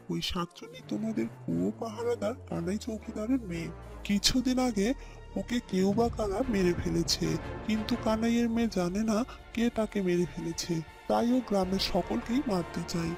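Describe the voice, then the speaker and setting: A man voicing a calm older village elder in cartoon story dialogue, measured and explanatory in manner. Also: high-pitched, vocal fry, music in the background. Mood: solemn, advising.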